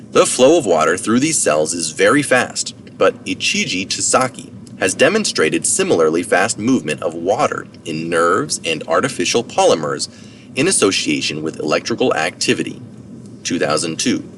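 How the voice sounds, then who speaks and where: A man's voice: low-pitched, fairly bright tone. A man reading a science article aloud as narration, with informal asides for citations. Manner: informal asides for citations, occasional self-correction. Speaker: reader of articles. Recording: distant mic, noticeable background noise.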